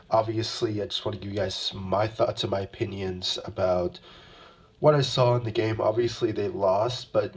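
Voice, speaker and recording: A young man hosting his own basketball fan channel, talking loosely with lots of fillers, his voice a bit rough from a sore throat. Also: fairly low pitch. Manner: rambling, many fillers and repeated "obviously". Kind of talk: casual solo sports commentary.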